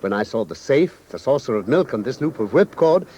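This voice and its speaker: An old, faintly noisy radio drama recording of a British gentleman detective, played by a male actor. He is shrewd and authoritative, articulating each point precisely. His medium-pitched voice moves through wide, animated swings. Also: fairly quick, natural voice. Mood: calm, explanatory. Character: shrewd, commanding gentleman detective, methodical and self-assured.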